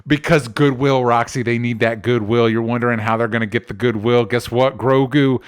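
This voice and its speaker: A man's voice: medium pitch, fairly even intonation, normal speed, smooth. A man hosting a podcast, chatting casually and emphatically with strong opinions and the odd swear word. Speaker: podcast host. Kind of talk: casual opinionated movie chat.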